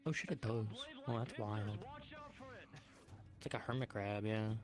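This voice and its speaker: A man's voice: on the low side, steady tone, a little slow. A man chatting casually and reacting as a player in an online role-play. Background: faint music.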